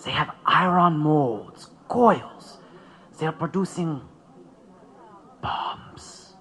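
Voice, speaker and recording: A man's voice: fairly high pitch, expressive, extremely slow, a bit bright, exaggerated voice. A man acting in an audio drama as a worried German immigrant radical, speaking in an anxious, hesitant way. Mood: fearful, hesitant.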